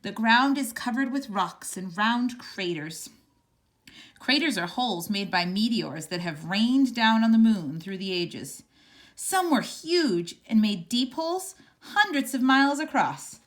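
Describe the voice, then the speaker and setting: A woman reading a children's picture book aloud in a measured, expressive storytelling manner. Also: a little high. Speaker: storybook reader.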